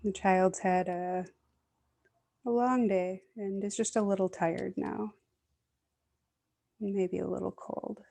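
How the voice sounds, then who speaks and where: A woman leading a guided meditation in a gentle, soothing, unhurried way, leaving long pauses. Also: medium pitch, fairly even intonation, gravelly.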